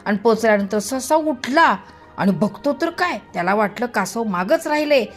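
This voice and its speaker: A woman's voice: fairly high pitch, expressive, normal speed. A woman telling a story to young children expressively, drawing out and repeating words for emphasis, with music behind her. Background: background music.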